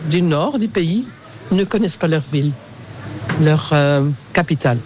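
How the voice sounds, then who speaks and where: A middle-aged man whose first language is Dutch, speaking French with a Belgian Dutch accent in a lively, emphatic way, with hesitations, in a street interview. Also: fairly high pitch, moderate pace.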